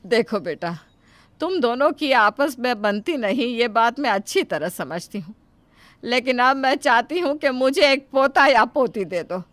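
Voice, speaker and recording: A woman's voice: fairly high pitch, animated, a little slow, fairly bright tone, dry recording. A woman voicing an aging, anxious mother-in-law who talks in a pleading, emotional way in a cartoon story. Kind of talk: cartoon dubbing.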